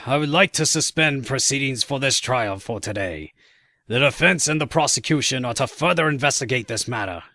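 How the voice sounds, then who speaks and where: A man's voice: medium pitch, bright, forceful tone, no room sound. A man playing a stern, pompous old courtroom judge with a formal, authoritative delivery in a fan audio drama.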